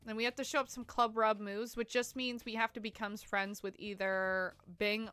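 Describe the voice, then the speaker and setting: A young woman streaming a game, her voice stuffy and congested from a cold. She chats casually, giggles often and fills gaps with ums and likes. Her tone is bright and forward, and the sound is close and dry. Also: fairly high pitch, level tone, moderate pace, clear, resonant tone, dry recording. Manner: chatty and giggly, many fillers. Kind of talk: casual live-stream gameplay chat.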